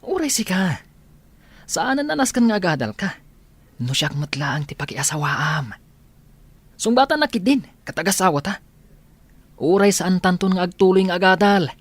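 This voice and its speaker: A man's voice: high voice, big pitch swings, normal speed, pauses often, breathy edge, no room sound, exaggerated voice. A man voicing a boastful, spoiled rich young man who laughs a lot, in an Ilocano radio drama. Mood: cocky. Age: young adult. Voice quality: cocky, laughs often. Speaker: college-age son.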